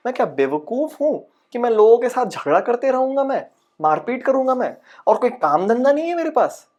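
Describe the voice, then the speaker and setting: A man's voice: very high voice, big pitch swings, brisk, darkish tone, dull audio. A man playing a frustrated, paranoid aspiring actor who rants insistently in a dramatic monologue. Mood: indignant. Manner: exasperated, ranting, insistent, with rhetorical questions. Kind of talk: dramatic comic audition monologue in Hindi-English mix.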